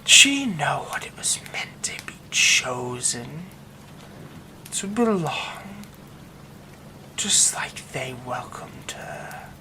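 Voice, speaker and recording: A man voicing a menacing yandere werewolf who is an old childhood friend, in an ASMR roleplay, talking in slow, soft, threatening whispers. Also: on the high side, sing-song, exaggerated voice, low-quality recording.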